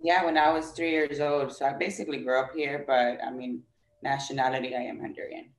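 Woman guest, mid pitch, lively casual storyteller, echoey room. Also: fairly quick. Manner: animated, acts out other people's quotes, frequent "like" and "you know". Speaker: podcast guest. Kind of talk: casual interview chat.

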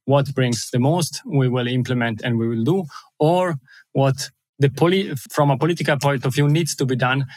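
A man's voice: medium pitch, normal speed, a little mellow, no echo. Enthusiastic man with an Italian accent. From Italy and Switzerland. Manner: enthusiastic, repeats words, laughs often. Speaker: guest. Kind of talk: interview.

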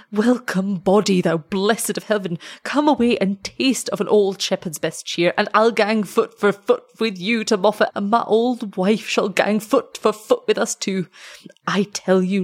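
A woman voicing an excitable, tender old shepherd in a story, with a Scottish accent and broad, exclamatory dialect speech. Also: mid-range voice, unhurried, natural voice. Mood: joyful, welcoming.

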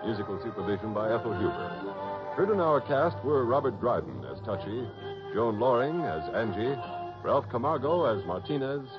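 A man announces in formal old-time radio style with sweeping, animated intonation. Loud music plays behind him on a dull, narrow recording. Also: mid-range voice, normal speed. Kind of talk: formal 1960s radio announcing, scripted credits.

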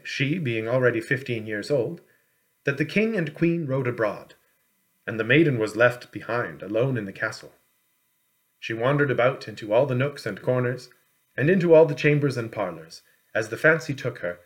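Man reading a fairy tale expressively. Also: mid-range voice. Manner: measured, expressive storytelling. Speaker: audiobook reader. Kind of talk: scripted storybook narration.

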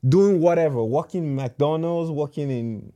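Male guest, unhurried delivery, dry close sound, casual and emphatic explainer. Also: fairly high pitch, dark tone, no echo, highs cut off. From Nigeria. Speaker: guest in a discussion.

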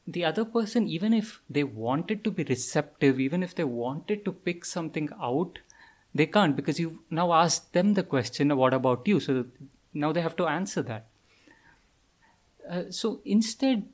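A man with a slightly high voice co-hosts a conversational podcast. He thinks his way through each point out loud and pauses with small hesitations as he goes. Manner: thoughtful, reasons aloud with hesitations. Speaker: podcast co-host.

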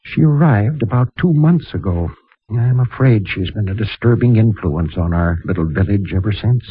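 An actor playing a kindly, righteous mission priest in a gentle, measured, formal manner, in a 1950s radio drama. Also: male voice, lowish voice, lively intonation, breathy edge, no room sound. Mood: guarded, concerned. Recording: muffled, narrow-band vintage radio recording.

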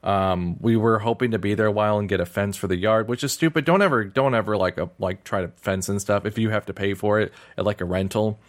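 A man from Missouri co-hosting a podcast in casual chat, talking in a fairly flat, monotone way with lots of "like". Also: on the low side, quick pace, few pauses. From Missouri, USA. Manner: monotone, heavy use of "like". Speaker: podcast co-host.

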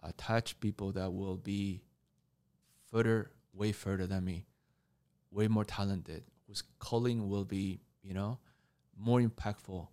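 A man from Taiwan, Argentina and Canada speaking conversationally as an interview guest, halting and repeating words as he goes. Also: on the low side, steady tone, warm tone. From born in Taiwan, grew up in Argentina and Canada. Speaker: mentor and coach, podcast guest.

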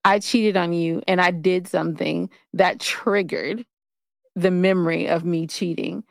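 Gravelly-edged woman, playfully argumentative. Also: fairly low pitch, fairly even intonation, unhurried, no room sound. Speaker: co-host of a couple's relationship show. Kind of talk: casual, teasing relationship banter.

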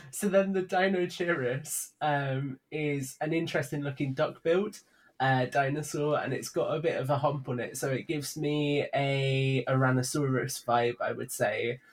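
A man's voice: mid-range voice, fairly even intonation, a little slow. A man speaking as a podcast guest, explaining things in casual, enthusiastic, informal chat.

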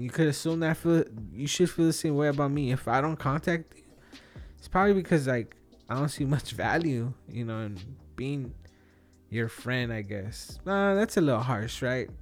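A man in his thirties chatting casually and solo as a podcast host, thinking aloud in a rambling, slangy way. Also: medium pitch. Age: 30s. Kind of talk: casual solo podcast chat, answering questions.

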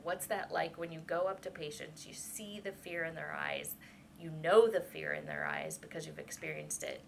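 A woman with a gravelly, fairly low voice hosts an interview, asking open questions in an encouraging, gentle way. Faint noise hums behind her. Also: very animated, moderate pace, rough recording. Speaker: interviewer, alumni coordinator.

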